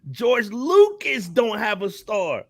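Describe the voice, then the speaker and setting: A man's voice: high-pitched, huge pitch swings, slow pace, creaky, a bit bright, no room sound. A man in his forties with an American accent, hosting a casual hip hop panel talk in slangy, emphatic style with repeated phrases. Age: 40s.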